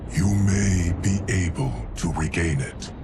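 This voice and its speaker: An actor playing a calm, dutiful steward of time in a fantasy game, formal, deliberate and stoic. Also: male voice, low voice, music in the background. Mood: solemn. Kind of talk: dramatic video game acting.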